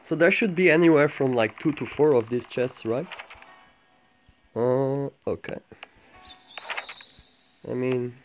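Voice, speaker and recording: A man giving low-key, casual gameplay commentary, thinking aloud in short fragments as he guides viewers. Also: slow pace, long pauses, faint music behind. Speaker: gamer making a walkthrough guide. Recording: clearly noisy home recording with clicks.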